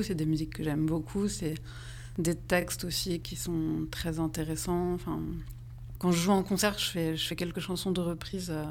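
Musician guest, woman in her thirties, low voice, hesitant and reflective delivery, light hum behind. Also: a little mellow. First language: French. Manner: thoughtful, hesitant, many restarts and fillers. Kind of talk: radio interview, casual chat.

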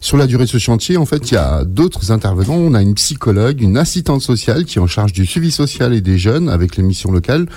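A man's voice: mid-range voice, very animated, quick pace, hardly any pauses, a little mellow, slightly rough, no echo. A man, a radio trainer, steadily explaining a training program on air.

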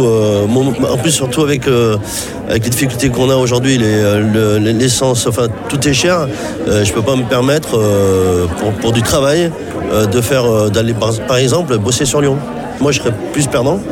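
Hesitant man in his fifties. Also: mid-range voice. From France, Saint-Étienne. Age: 50s. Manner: hesitant, halting, many fillers. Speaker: job seeker interviewee. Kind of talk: radio interview answers. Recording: music playing underneath.

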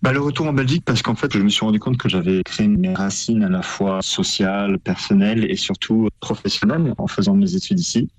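Young man with a low, husky voice. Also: expressive, brisk, hardly any pauses, no room sound. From France. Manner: earnest, explaining with fillers like "en fait". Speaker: interviewee, editor of an online publication. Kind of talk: interview answers.

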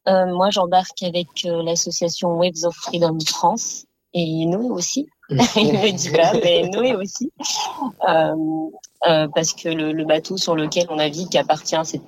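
A woman's voice: lowish voice, fairly even intonation, unhurried, poor audio. A woman activist giving personal testimony in an interview, emphatic and rhetorical, building her phrases through repetition.

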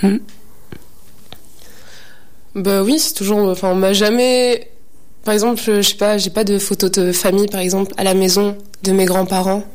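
A seventeen-year-old girl talking in an interview, with a voice of middling pitch. She hesitates often, dropping fillers as she works out what she wants to say. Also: little pitch movement, moderate pace, long pauses, a little mellow. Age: teens. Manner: hesitant, searching, many fillers. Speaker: high school student interviewee. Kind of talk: reflective testimony.

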